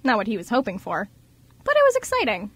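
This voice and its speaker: A woman's voice: on the high side, wildly swooping pitch, fast, slightly rough, no room sound. A woman reading scripted narration as the narrator of an amateur radio drama.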